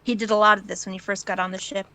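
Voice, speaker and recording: A woman's voice: medium pitch, level tone, racing, bright, forceful tone. A woman narrating a piece of fan fiction in the second person, as an amateur reader.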